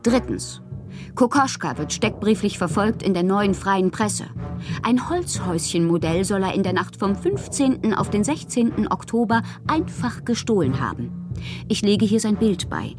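A woman playing a moody, fanciful bohemian poet in a radio drama, reading her confessional letters aloud with expressive, theatrical, poetic phrasing. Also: medium pitch, moderate pace, music in the background, close to an everyday voice. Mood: wry, mischievous.

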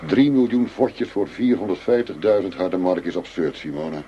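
A man's voice: low voice, lively intonation, normal speed, mellow, dull audio. An actor playing a shrewd undercover policeman posing as a tough dealer, firm and businesslike, in a radio drama. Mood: hard, businesslike. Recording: noisy radio play recording with ambience.

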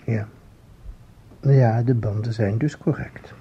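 An actor playing a measured, analytical investigator with a husky, muted voice in a Dutch radio play. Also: male voice, medium pitch, fairly even intonation. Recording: slightly dull radio recording.

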